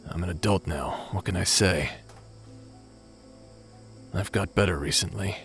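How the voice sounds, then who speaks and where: A man's voice: deep voice, a bit bright, soft background music. A man playing a remorseful former space pirate captain and awkward brother in a dramatic sci-fi audio roleplay. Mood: tender, awkward. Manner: halting, sighing, hesitant delivery.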